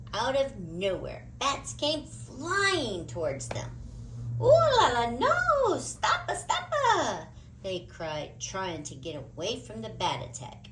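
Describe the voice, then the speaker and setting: Female storybook reader, expressive and dramatic, wide pitch movement, measured pace. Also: slight background noise, rough recording. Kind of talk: animated read-aloud story narration.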